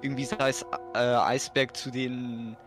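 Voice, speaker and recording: Casual male co-host over clear background music. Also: medium pitch, level tone, a little slow. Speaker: podcast co-host. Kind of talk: casual chat. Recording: prominent music behind the voice. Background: eerie ambient music.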